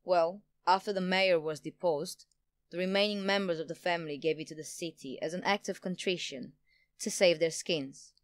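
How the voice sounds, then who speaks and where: A woman's voice: fairly low pitch, fairly even intonation, normal speed, no room sound, natural voice. A woman playing a smug, power-hungry politician in an audio drama, pompous and theatrical in manner. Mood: smug, explaining. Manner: theatrical, pompous, self-satisfied. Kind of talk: audio drama villain acting.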